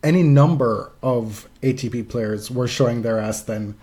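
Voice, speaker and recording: Mellow-voiced man chatting about sports. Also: medium pitch, moderate pace. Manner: emphatic, frequent profanity. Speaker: podcast co-host. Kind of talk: casual sports talk, opinionated commentary.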